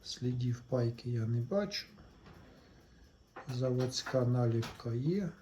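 A man's voice: medium pitch, lively intonation, slow pace, dark tone, slight background noise. A man hosting a casual hands-on electronics vlog, talking in short step-by-step remarks and addressing viewers as friends. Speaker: host of a hobby electronics channel.

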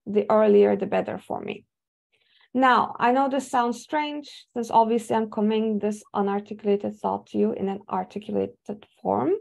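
A woman's voice: mid-range voice, gravelly, darkish tone. A young woman, an English speaker, giving a webinar talk from slides over a video call, thoughtful with many fillers and restarts. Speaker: academic speaker. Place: home room indoors. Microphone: video call webcam.

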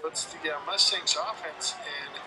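A man calling play-by-play for a high school football game, quick and excited on big plays. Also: background noise. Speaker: play-by-play announcer. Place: outdoor high school football stadium at night.

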